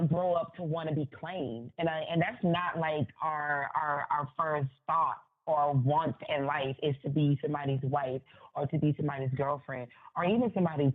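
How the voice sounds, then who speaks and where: A woman author speaking casually and candidly as an interview guest, over a phone-like connection. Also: low-pitched. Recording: tinny phone-like line.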